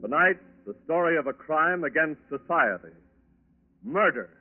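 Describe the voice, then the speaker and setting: American male announcer, high register, 1940s broadcast delivery over prominent music; narrow, muffled old recording. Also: lively intonation, moderate pace. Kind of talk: 1940s radio scripted announcing. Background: loud music.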